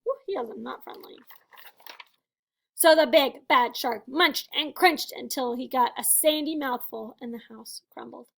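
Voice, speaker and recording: A woman teacher doing an expressive storybook read-aloud for first graders, chatting to them and asking questions. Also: high voice, a little slow, vocal fry. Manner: expressive, addressing young children with questions. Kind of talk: animated children's read-aloud.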